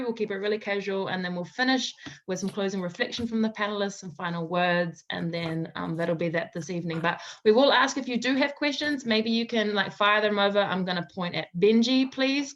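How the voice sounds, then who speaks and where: A woman hosting a casual online panel, upbeat and effusive as she introduces speakers over a video call. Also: medium pitch. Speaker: panel host. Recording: slightly dull video-call audio.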